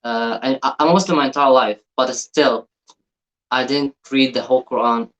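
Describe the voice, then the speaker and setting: Earnest Egyptian man, halting speech. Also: medium pitch, steady tone, a bit bright, poor audio. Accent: Egyptian Arabic. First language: Arabic. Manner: earnest, halting, repeats himself. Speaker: reaction video host. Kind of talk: casual reaction commentary.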